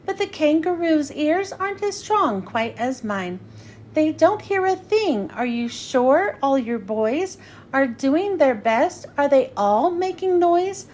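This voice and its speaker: A woman's voice: sits high, sing-song, slow, darkish tone, a little hiss or hum, exaggerated voice. A woman voicing an earnest, kind-hearted male elephant in a storybook, pleading urgently and emphatically. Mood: encouraging, pleading.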